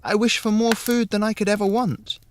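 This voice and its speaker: A man's voice: high voice, steady tone, normal speed, a little hiss or hum, voice pushed up, lightly acted. A man voicing a naive, earnest poor child in a storybook tale, with a raised, pleading delivery. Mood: wishful, urgent.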